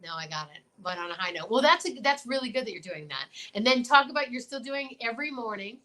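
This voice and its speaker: A woman co-hosting a casual show, chatting and telling stories in an animated, gushing, joking way. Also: a little high, fast talker, crowd noise. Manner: animated, gushing, jokey storytelling. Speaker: show co-host. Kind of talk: casual comic chat. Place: remote livestream from quarantine at home.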